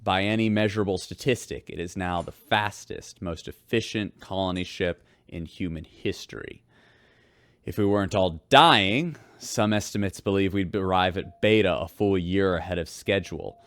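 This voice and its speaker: Deep-voiced, weary male space radio host. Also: fairly bright tone, no room sound. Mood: wry, weary. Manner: measured, wry. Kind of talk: news narration in a sci-fi audio drama.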